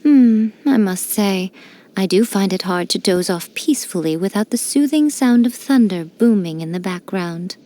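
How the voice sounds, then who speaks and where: An actress voicing a sly, elegant fox-spirit shrine priestess in a game, teasing and playfully condescending. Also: female voice, medium pitch, lively intonation, moderate pace, dark tone, lightly acted. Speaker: game character. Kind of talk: studio game voice lines.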